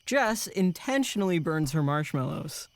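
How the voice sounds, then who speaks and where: An actor playing a steady, reassuring young male camp counselor in an audio drama, with a calm, dry manner. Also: mid-range voice, moderate pace, vocal fry. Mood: dry teasing.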